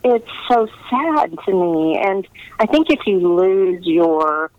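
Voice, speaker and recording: A woman's voice: mid-range voice, very animated, slow pace, no echo, phone-quality sound. A middle-aged American woman, a novelist, speaking earnestly and reflectively in a conversational interview. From United States. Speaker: historical romance novelist being interviewed.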